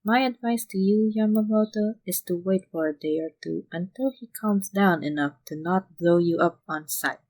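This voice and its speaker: A woman's voice: medium pitch, moderate pace, hardly any pauses, a little mellow, close to an everyday voice, rough recording. A woman plays a young man in charge, worn out and exasperated. He speaks with long-suffering patience as he gives advice in an audio story. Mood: dry exasperation. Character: weary, exasperated young male mafia boss giving advice.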